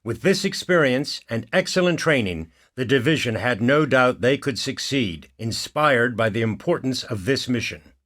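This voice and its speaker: A man narrating a war game cutscene in a measured, formal, scripted documentary style. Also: mid-range voice, animated, fairly bright tone.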